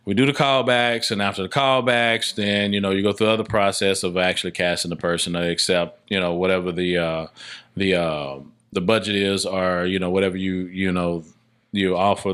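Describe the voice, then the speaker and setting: Male podcast guest, slightly deep and very bright, punchy voice; casual, emphatic storyteller who doubles back on phrases. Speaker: guest, indie filmmaker and casting director.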